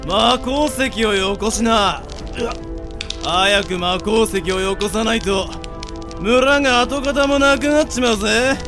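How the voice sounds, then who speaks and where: A man acts a menacing sorcerer bandit in a radio drama. The villain is high-pitched yet gravelly and takes his time over each threat. Music sounds behind him. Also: slow pace. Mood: cool menace. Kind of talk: amateur radio drama acting.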